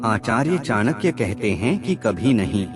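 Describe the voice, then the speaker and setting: A man reading scripted moral aphorisms as a narrator, in a measured, formal recitation. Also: on the low side, big pitch swings. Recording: music bed under the voice. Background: background music.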